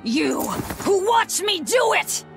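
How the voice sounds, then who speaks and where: A woman's voice: extremely high pitch, expressive, slow pace, gravelly, music in the background, heavily acted. A woman playing a bitter, tormented young killer with a raw, intense delivery in a game cutscene. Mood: frantic anger. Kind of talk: dramatic game cutscene acting.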